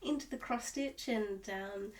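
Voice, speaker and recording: A stuffed-up, nasal Australian woman chatting to camera at home about her hobby. She rambles and restarts her sentences often, and her voice sits at an ordinary pitch. She sounds a little distant from the microphone. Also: unhurried. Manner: rambling, with many restarts and fillers. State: nasal and stuffed up from a cold-like illness. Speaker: cross-stitch vlogger. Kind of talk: casual chat to camera. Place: at home, indoors.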